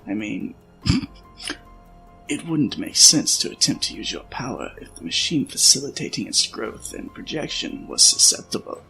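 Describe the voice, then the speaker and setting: An actor playing an old, weary scientist in a science fiction audio drama, speaking in a measured, explanatory way with a creaky voice. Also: male voice, mid-range voice, sing-song, pushed and bright, full character voice, poor audio. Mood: grave, explanatory. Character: old, worn male scientist, weary, reflective friend. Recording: music under the voice.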